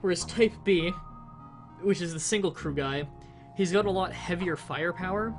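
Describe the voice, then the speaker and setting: A man's voice: high-pitched, normal speed, soft background music. A slightly sick young man with a nasal voice, casually explaining a game in a rambling stream commentary. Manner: rambling, explains game mechanics with fillers and restarts. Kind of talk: casual let's-play commentary.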